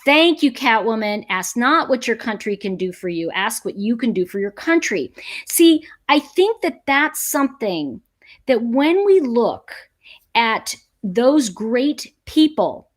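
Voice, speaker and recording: American woman, middle-aged, fairly high voice, for a chatty livestream host role; emphatic, conversational delivery with repeated stressed phrases. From United States. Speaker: teacher. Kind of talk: casual livestream chat, reading and answering viewer comments.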